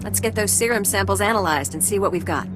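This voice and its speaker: A woman acting a brisk, dry-witted medical researcher in a video game, wry and matter-of-fact. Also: medium pitch, over loud music, lightly acted, poor audio.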